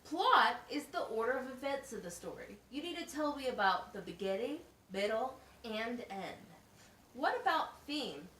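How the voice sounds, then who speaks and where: A woman's voice: on the high side, lively intonation, moderate pace, a bit bright. A woman playing a patient, encouraging special education teacher at a wizard school in an amateur puppet show, explaining things simply, step by step. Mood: instructive, upbeat. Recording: echoey, distant mic with faint noise.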